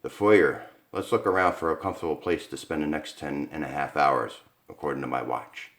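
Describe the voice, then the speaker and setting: A man voicing a young husband who talks in a low, soothing, reassuring way. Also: fairly quick, fairly bright tone. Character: steady young husband trying to stay calm.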